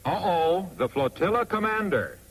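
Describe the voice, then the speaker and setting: A man with an American accent narrates a 1940s instructional film in a wry, clearly phrased, scripted manner on a vintage soundtrack. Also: sits high, sing-song, normal speed, a bit bright, poor audio. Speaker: narrator of a military training film.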